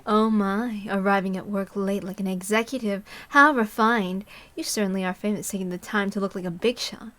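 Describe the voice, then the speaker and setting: A woman's voice: medium pitch, moderate pace, hardly any pauses, a bit bright, lightly acted. Woman putting on a sly, refined teasing lady. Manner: teasing, cryptic, genteel.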